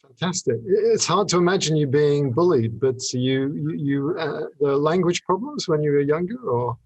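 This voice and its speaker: A man in his fifties hosting a casual interview, phrasing his questions haltingly and repeating words. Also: fairly high pitch, expressive, darkish tone, slightly rough, dry recording, poor audio. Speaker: podcast host, interviewer.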